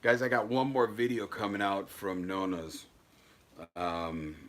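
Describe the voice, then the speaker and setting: A man's voice: lowish voice, fairly even intonation, unhurried, a bit bright. A man hosting a casual livestream chat, rambling with lots of ums and restarts. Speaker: paranormal channel host.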